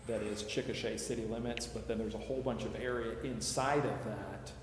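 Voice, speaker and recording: A man explaining details at a public meeting in a measured, qualifying way. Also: lowish voice, steady tone. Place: large echoey meeting room, council chambers. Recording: echoey hall, background hiss.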